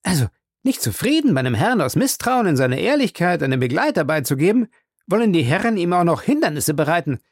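A reader voicing an excitable, loyal male French manservant who talks in an indignant, emphatic way and is growing tipsy. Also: medium pitch, fairly quick, dry recording, clean studio sound, voice pushed up.

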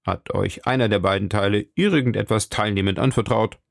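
A man's voice: on the low side, a little fast, creaky, no echo, clean studio sound. A man voicing stern male interrogators asking formal, probing questions.

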